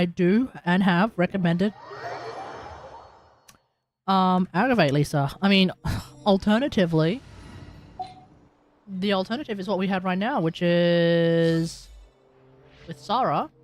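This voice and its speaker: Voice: medium pitch, a little slow, pauses often. A game livestreamer giving casual live commentary on gameplay, with playful exclamations and muttered asides.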